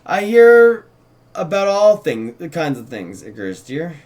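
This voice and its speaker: A man puts on a doting old-soul witch for a script reading. The delivery is dry and knowing, pitched a little high for a male voice. Endearments come out fond and faintly patronizing. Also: very animated, measured pace, a little mellow. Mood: knowing, gently patronizing.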